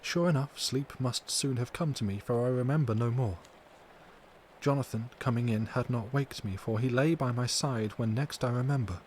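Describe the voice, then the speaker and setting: A man voicing a distressed but tender and brave young wife, recounting in an earnest, measured, formal way for an audiobook. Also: mid-range voice, lightly acted. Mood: troubled recounting. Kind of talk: dramatic audiobook character reading. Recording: steady background noise.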